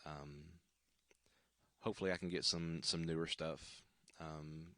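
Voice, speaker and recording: Deep deep guy, voice all scratchy and tired, lots of ums, dry close sound. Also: fairly even intonation, fairly bright tone, dry recording. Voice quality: deep baritone. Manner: halting, many ums and restarts. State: worn-out, scratchy voice. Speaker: worship singer hosting a livestream. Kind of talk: casual livestream sign-off chat. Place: at home.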